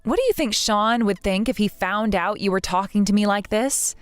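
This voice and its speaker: A woman in her thirties voicing a patient, polite bride-to-be who speaks in a soft, measured, restrained way. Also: medium pitch, smooth, no room sound, soft background music, natural voice. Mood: hurt. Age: 30s.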